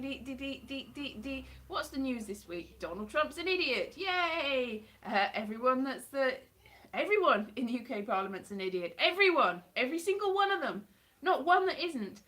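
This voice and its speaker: Woman, 30s, casual live-stream chatter into a close webcam, self-mocking and sarcastic, slightly high voice. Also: bright, forceful tone. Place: home hallway by a staircase, indoors. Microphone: webcam or phone at close range.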